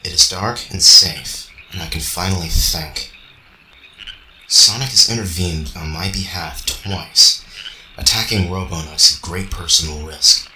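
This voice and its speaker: Man playing a deep, sharp-edged brooding robot. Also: full character voice. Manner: measured, formal, introspective. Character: brooding, logical young male robot, puzzled by feelings. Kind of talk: amateur radio drama monologue. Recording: echoey, faintly noisy home recording.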